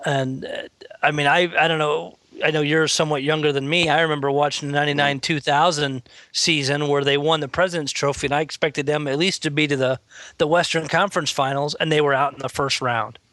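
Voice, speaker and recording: A man in his late thirties co-hosting a casual sports podcast, talking in a measured, reflective way as a longtime fan. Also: fairly high pitch, fairly even intonation, sharp tone, no room sound. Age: 30s. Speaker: podcast co-host.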